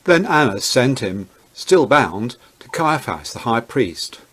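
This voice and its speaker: A man's voice: medium pitch, big pitch swings, slow, a bit bright, breathy edge. A man narrating a dramatized Bible reading as a scripted storyteller.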